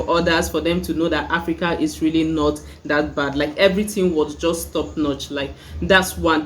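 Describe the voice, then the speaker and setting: A woman's voice: lowish voice, slight background noise. Chatty Nigerian woman reviewing a show. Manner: chatty, many "like" and "you know" fillers. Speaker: YouTube reviewer. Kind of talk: casual review vlog.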